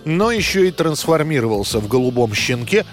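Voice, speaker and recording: A man's voice: a little high, very animated, a little fast. Male history documentary narrator over faint music.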